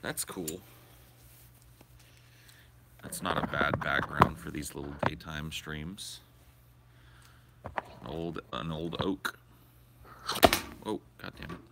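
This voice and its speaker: A man's voice: a bass voice, steady tone, takes it slowly, gravelly, pauses often, heavy background noise. A young man hosting a casual livestream, rambling crudely and answering his viewers' questions aloud on a portable camera. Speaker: livestream host. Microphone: portable camera or phone carried around.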